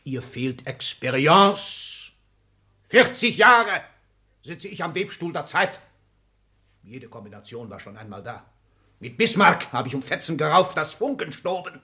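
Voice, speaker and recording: An actor playing a domineering, vain seventy-year-old industrial magnate in a 1960s radio drama, imperious and declamatory. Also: male voice, on the high side, big pitch swings, measured pace, full character voice. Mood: boastful, fiery. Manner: imperious, declamatory, bursting from muted asides into shouts.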